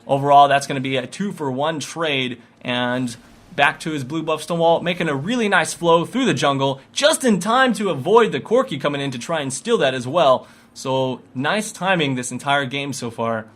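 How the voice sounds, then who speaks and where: Man, slightly high-pitched, bright forceful voice, esports commentator, excitable play-by-play delivery. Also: moderate pace. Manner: excitable, rising with the action. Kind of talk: live video game play-by-play commentary.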